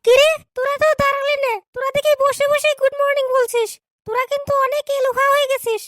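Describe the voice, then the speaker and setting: A woman voicing a strict scolding schoolteacher, very high. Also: fairly even intonation, quick pace, a bit bright, a little hiss or hum. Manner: scolding, lecturing. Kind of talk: cartoon dub acting.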